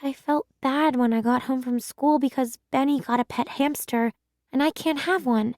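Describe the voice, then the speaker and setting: Woman voicing an earnest, high-pitched storybook schoolgirl. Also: normal speed, a little mellow, no room sound, clean studio sound. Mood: plaintive. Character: earnest, defensive young schoolgirl who longs for a pet. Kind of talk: children's storybook read-aloud.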